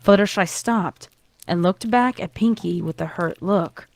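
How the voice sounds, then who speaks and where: A woman's voice: medium pitch, a little slow, gravelly. A woman reading a scripted story as narrator, with dramatic delivery.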